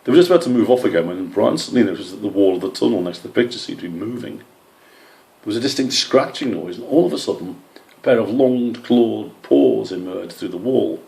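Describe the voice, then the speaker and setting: A man's voice: on the low side, expressive, fairly quick, gravelly, darkish tone, rough recording. A man reading a children's story aloud as scripted narration. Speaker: story reader.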